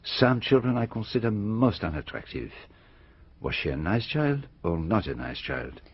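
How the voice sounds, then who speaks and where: An actor with a French-speaking Belgian accent playing a courteous, formal detective who probes deliberately in a radio mystery. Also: male voice, on the low side, sing-song, breathy edge, no echo. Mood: insistent. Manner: formal, deliberate, politely insistent. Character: courteous, probing middle-aged Belgian detective. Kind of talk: radio mystery drama acting. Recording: dull, muffled radio drama recording.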